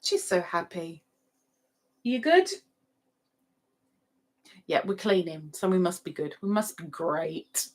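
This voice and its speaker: A woman's voice: on the low side, sing-song, takes it slowly, pauses often, a little mellow. A woman hosting a casual livestream, chatting playfully with sing-song asides and reading out notices to viewers. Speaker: livestream host. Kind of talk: casual live chat, reading out viewers' adverts.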